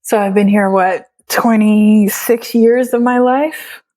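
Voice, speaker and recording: A woman's voice: mid-range voice, steady tone, takes it slowly, dark tone. A woman in her late twenties, from Trinidad and raised in Florida, speaking thoughtfully as an interview guest and laughing often. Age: late 20s. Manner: reflective, laughs often, many fillers. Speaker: birth photographer, guest. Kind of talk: casual interview chat.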